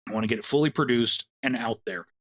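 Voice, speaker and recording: A man's voice: mid-range voice, quick pace, fairly bright tone. A man, the producer of an audio drama, answering interview questions in an earnest, reflective way.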